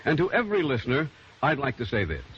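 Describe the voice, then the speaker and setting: A man's voice: medium pitch, wildly swooping pitch, fast. An American man delivering a polished, emphatic sponsor message in the style of old-time radio. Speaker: commercial announcer. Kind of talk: 1940s-50s radio sponsor pitch. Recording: muffled old radio recording, faint hiss.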